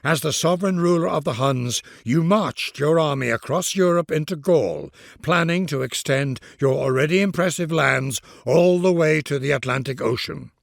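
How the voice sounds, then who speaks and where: A man's voice: fairly high pitch, vocal fry, dry recording, clean studio sound. A middle-aged man, narrating a strategy game in a grand, solemn, stately and dramatic manner.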